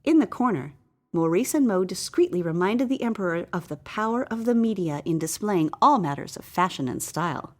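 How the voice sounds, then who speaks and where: A woman reading a satirical parable as scripted storybook narration, with theatrical, deliberate phrasing and stretched emphasis. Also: lowish voice, animated. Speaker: story reader.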